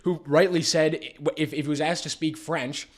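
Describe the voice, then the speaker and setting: A young Canadian man co-hosting a political commentary show, talking animatedly with frequent restarts. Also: fairly high pitch. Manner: animated, fast talker with many restarts. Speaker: co-host.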